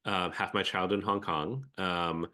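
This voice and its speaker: A man's voice: low voice, steady tone, moderate pace, sharp tone. A man in his forties, born in the Philippines with an American accent, speaking as a podcast guest in a reflective way with many fillers and restarts. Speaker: venture capital guest. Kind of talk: conversational podcast interview.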